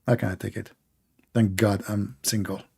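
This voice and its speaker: A man's voice: fairly low pitch, flat delivery, a little slow, vocal fry, warm tone, husky. A man whose first language is Arabic, hosting a live online debate and pressing his point insistently, often repeating himself. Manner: insistent, repetitive, talks over the caller. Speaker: debate host.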